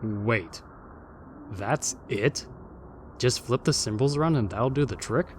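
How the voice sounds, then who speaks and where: Sceptic ghost, male actor, audio roleplay: grumbling, dry, mid-pitched, light background noise. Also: animated, takes it slowly. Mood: sceptical. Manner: dry, sardonic.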